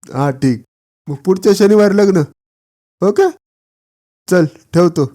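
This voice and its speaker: An actor playing a cocky village friend in a comedy cartoon, brash, bragging and teasing. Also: male voice, high voice, takes it slowly, dark tone. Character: cocky, boastful village friend who plays the fixer. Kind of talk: exaggerated comedy cartoon dialogue.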